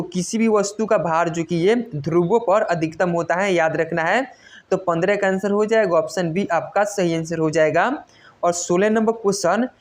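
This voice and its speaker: A woman teaches in a quiz-style exam lesson, reading out questions and stressing each answer repeatedly in an instructional way. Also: fairly low pitch. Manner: instructional, repetitive emphasis on key answers. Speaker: exam coach.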